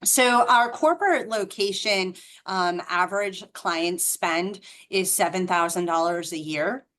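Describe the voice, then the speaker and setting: A middle-aged woman with a Boston accent talks through how a franchise works, leaning toward a low pitch. She is chatty and explanatory, full of ums and self-corrections, and the sound comes through a video call. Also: a little slow, a bit bright. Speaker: franchise representative.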